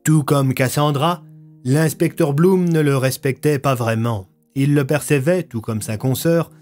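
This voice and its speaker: A man's voice: mid-range voice, darkish tone. A man narrating a scripted audio fiction story.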